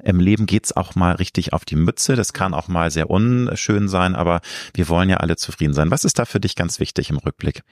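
A man with a low voice hosts a casual interview. He phrases things colloquially and gently steers by summing up and asking. The recording is dry, with very little room sound. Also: brisk, hardly any pauses, dry recording. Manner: colloquial, paraphrasing and prompting the guest. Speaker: podcast host, interviewer.

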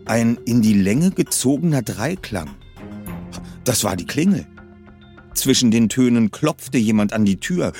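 A man's voice: on the low side, a little mellow. A man reading a suspense story aloud in a tense, dramatic narration style. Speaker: reader. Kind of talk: audiobook narration.

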